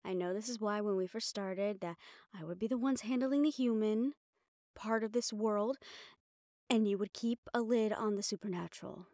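A woman performs a mafia boss's right-hand assistant in an intimate audio roleplay. She is proud and exasperated, hard on herself, speaking with pauses at a middle pitch. The sound is close and dry. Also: mellow, no echo, close to an everyday voice. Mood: exasperated, reluctant. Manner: sighing, exasperated, self-reproachful, pausing. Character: mafia boss's proud, frustrated female human personal assistant.

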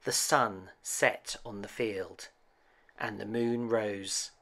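A man narrating a children's storybook as host, with gentle, deliberate, expressive phrasing. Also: on the low side.

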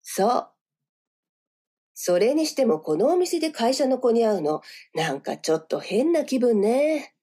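An actress plays a cool, self-assured senior businesswoman in a voice drama. Her voice is dark and a bit breathy, with lively swings as she teases. Music plays lightly behind her. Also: fairly high pitch, measured pace, exaggerated voice. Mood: composed, teasing. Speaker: voice actor. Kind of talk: voice drama dialogue.

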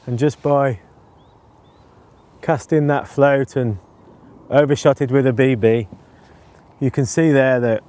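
A man in his forties explaining his canal fishing setup in a relaxed, casual way, with frequent ums, recorded outdoors on a lapel mic. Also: mid-range voice. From England. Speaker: angling presenter. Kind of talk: casual instructional talk to camera.

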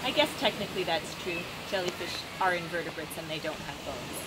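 A woman in her thirties reading a children's story like a teacher, expressive and instructive, outdoors. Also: fairly low pitch, a little fast, very noisy. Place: open seafront, outdoors. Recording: loud ocean surf behind voice.

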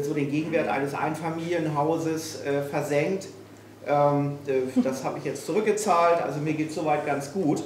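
A man's voice: fairly high pitch, darkish tone. Self-mocking hamburg guy giving a talk, lots of ums, echoey hall sound, a bit of hiss. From Hamburg, Germany. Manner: frank, self-mocking, many hesitations. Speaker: speaker at a failure-stories evening. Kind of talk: live talk to an audience. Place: small event room with audience. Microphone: room PA, recorded from a distance. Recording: echoey room, distant mic, faint noise.

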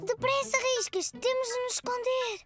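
Um garotinho animado, com voz muito aguda e ansiosa, ri-se fácil e fala num português europeu de desenho animado. A gravação tem uma música infantil forte por baixo. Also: female voice, very high-pitched, normal speed, over music. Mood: eager. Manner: bouncy, eager, giggly child voice. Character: cheerful excitable little bald boy, four.

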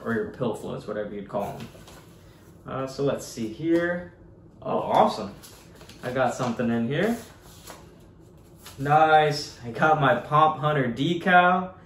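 A young man, a fishing guide, chatting easily to his viewers as he unboxes gear at his desk in a small home office. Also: medium pitch. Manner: easygoing, chatty, addresses viewers as guys. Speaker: surf fishing guide and channel host. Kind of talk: casual unboxing vlog talk. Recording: echoey room with faint hiss.

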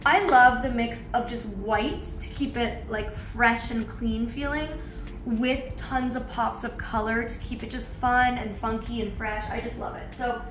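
A woman's voice: on the high side, steady tone, a little slow, fairly bright tone, slight background noise. A woman in her thirties chatting enthusiastically as she walks through a house on a vlog tour, recorded on a handheld camera. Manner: enthusiastic, chatty, walking around. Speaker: vlogger giving a house tour. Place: large bright house interior, tiled floors. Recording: echoey room with music under. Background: background music.

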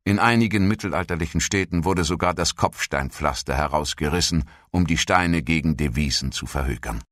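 A man reading a scripted documentary narration in a measured, formal manner. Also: sits low, a bit bright, no room sound, clean studio sound.

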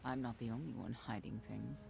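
A man's voice: medium pitch, fairly quick, gravelly, a little mellow, faint music behind. An actor playing a dry, matter-of-fact male companion in a sci-fi game.

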